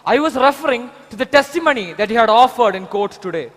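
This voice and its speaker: A man plays a composed, smug defence lawyer in a stage play. His speech is formal and courteous in a high voice. A hall adds long reverb. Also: expressive, fast, a bit bright, exaggerated voice.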